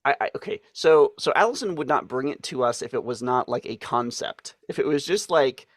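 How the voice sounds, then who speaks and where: A man's voice: medium pitch, expressive, a little fast, no room sound, studio quality. A man co-hosting a podcast in casual chat, stumbling over his words with many fillers and restarts and laughing often. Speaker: podcast co-host.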